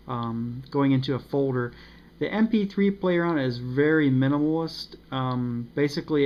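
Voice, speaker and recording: A man giving a casual, unscripted review of a radio, pausing often with ums and uhs. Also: mid-range voice, vocal fry. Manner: hesitant, many ums and uhs. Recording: slightly dull with faint noise.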